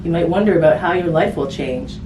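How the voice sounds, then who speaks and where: A woman with a fairly low voice reads a children's book aloud in a conversational storytime style, pausing for casual asides to her young listeners. She sounds set back from the microphone in an echoey room, with clear noise in the recording. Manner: conversational, simple phrasing aimed at children, with tag questions. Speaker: hospice volunteer reading a picture book to children. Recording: roomy, distant mic with background noise.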